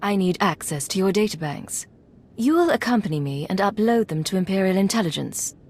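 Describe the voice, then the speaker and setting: An actress playing a composed, commanding intelligence agent in a video game, with a clipped, businesslike manner. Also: female voice, mid-range voice, expressive, a little fast, darkish tone, husky.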